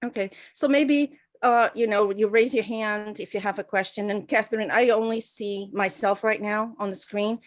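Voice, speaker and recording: A woman's voice: mid-range voice, fairly even intonation, moderate pace, hardly any pauses. A woman, giving a chatty online talk over a video call, with lots of fillers and self-deprecating asides. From Portugal. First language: Portuguese. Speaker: guest speaker. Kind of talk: online presentation.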